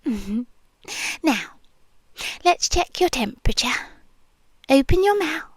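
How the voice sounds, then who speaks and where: A British woman playing an obsessive, possessive young nurse in an ASMR roleplay, whispering softly and teasing in a sweetly controlling way close to the mic. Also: high voice, big pitch swings, slow pace, dry recording, heavily acted. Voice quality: soft, breathy whispering. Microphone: close mic, speaking into the ear.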